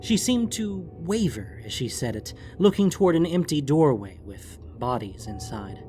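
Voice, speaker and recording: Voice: medium pitch, sing-song, normal speed, dark tone, soft background music. A narrator reading a scripted fantasy story for an audiobook.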